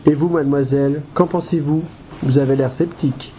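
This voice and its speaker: A man playing a patient, formal and polite association adviser with a soft, slightly husky voice in an amateur audio drama. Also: on the high side, fairly even intonation, brisk, slight background noise, close to an everyday voice. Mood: helpful, polite. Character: courteous, patient male guide-dog association adviser.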